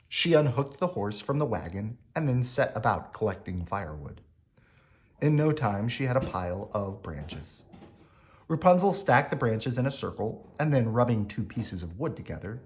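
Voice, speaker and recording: Man, low voice, swooping lively intonation, emphatic phrasing, children's story read-aloud. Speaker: storybook reader.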